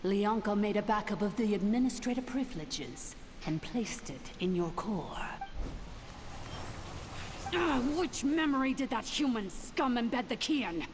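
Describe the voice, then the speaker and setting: A woman's voice: mid-range voice, lively intonation, measured pace, natural voice. A woman playing a haughty, vengeful ancient machine villain in a video game, with a theatrical, scornful delivery. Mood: gloating menace. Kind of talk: scripted video game voice acting. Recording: long reverb, faint background noise.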